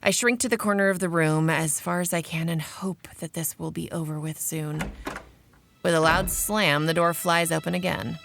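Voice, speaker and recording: A woman's voice: deep voice, fairly even intonation, moderate pace, gravelly, a bit bright, faint music behind. A woman playing a shy, awkward vampire researcher in an audio drama, narrating in the first person with dry, self-deprecating asides. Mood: awkward, anxious.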